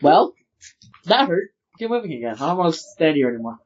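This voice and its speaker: A woman's voice: low-pitched, big pitch swings, a little fast, a little mellow. A woman chatting casually as a player in a tabletop role-playing game. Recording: muffled, noisy table recording.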